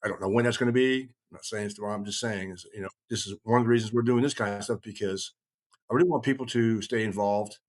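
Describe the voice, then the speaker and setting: A man's voice: fairly low pitch, fairly even intonation, quick pace. Earnest, folksy middle-aged man chatting. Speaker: podcast host. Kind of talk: casual rambling group discussion.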